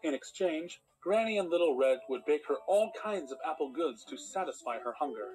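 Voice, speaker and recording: A man playing a measured storyteller, reading scripted storybook narration. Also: fairly high pitch, expressive, a little mellow, natural voice, rough recording.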